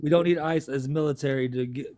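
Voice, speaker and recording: A man's voice: a little high, steady tone, fast talker, a little mellow. A man hosting a heated online debate, combative and profane, hammering the same jabs over and over. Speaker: debate stream host.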